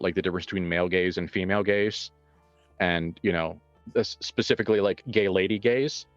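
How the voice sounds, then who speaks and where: Male game master, deep register, casual reflective talk with halting emphasis, dull recording with soft music underneath. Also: dry recording. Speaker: game master and writer of an actual-play show. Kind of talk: casual reflective discussion, answering questions.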